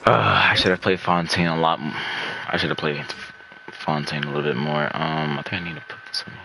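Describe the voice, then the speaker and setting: A man's voice: very deep voice, fairly even intonation, brisk, creaky, background noise. A man casually commentating on his gameplay as a streamer, rambling and muttering to himself. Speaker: game streamer. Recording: game music behind the voice. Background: video game music.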